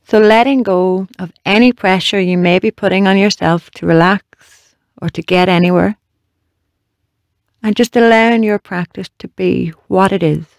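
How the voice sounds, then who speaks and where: Creaky-voiced meditation guide, close and dry. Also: mid-range voice, dry recording. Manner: slow, measured, gently instructing with long pauses. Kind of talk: guided body scan meditation.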